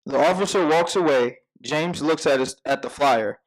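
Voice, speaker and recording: Higher-voiced man narrating a screenplay. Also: moderate pace, poor audio. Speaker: narrator at a script table read.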